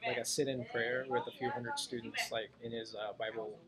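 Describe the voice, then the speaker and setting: A man's voice: medium pitch, level tone, moderate pace, crowd noise. A young adult man explains a family story casually and a little hesitantly. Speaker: interviewee's son, helping explain. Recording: echoey, noisy, distant mic.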